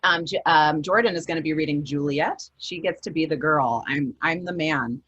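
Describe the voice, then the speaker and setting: A woman author talking casually about her book, chatty with plenty of fillers. Her voice is low and slightly husky. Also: moderate pace, fairly bright tone, muffled recording. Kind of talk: casual book event talk.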